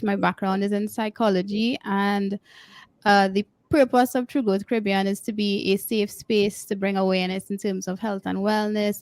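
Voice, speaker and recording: A woman's voice: medium pitch, smooth, no room sound. Woman coach with dry close sound. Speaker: mindset coach panelist. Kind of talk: self-introduction on a panel.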